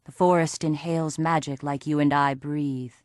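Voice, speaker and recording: A woman voicing a young female elf who speaks formally and gravely in a game cutscene. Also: sits low, fairly even intonation, a little mellow, dry recording, clean studio sound, close to an everyday voice. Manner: formal, measured, earnest.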